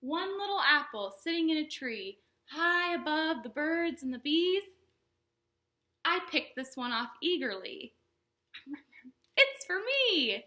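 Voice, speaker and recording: A woman hosting a storytime for young children, speaking in simple explanatory phrases with an animated, sing-song delivery. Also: high voice. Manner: animated, exaggerated questions and exclamations for young children. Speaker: children's librarian and storytime host.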